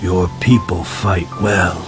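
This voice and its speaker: An actor playing a proud, solemn leader of an ancient people, speaking formally in a game cutscene. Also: male voice, deep voice, fairly even intonation, slow, music in the background. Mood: grave, proud. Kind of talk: dramatic game cutscene acting.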